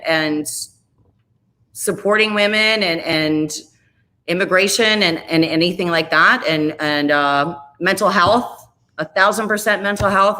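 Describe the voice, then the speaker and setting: Woman radio host in her forties, candid guest chat, slightly low pitch, bright forceful tone. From Chicago area, US. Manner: candid, rambling, self-deprecating. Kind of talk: casual interview chat.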